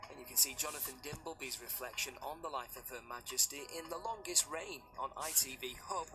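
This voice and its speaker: A male continuity announcer reads a scripted television promo at medium pitch. Music plays clearly behind him, and the clip sounds quiet and distant. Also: fairly quick. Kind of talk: scripted broadcast promo voice-over.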